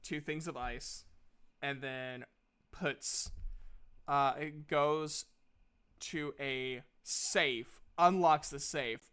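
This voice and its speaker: A man working as game master, narrating casually and haltingly with repeated words. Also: a little high, fairly even intonation, pushed and bright, smooth.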